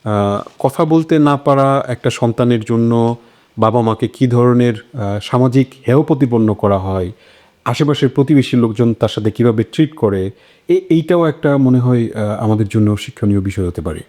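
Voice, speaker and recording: A man hosting a casual discussion, introducing topics in a hesitant, halting way. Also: medium pitch, dark tone. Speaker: host.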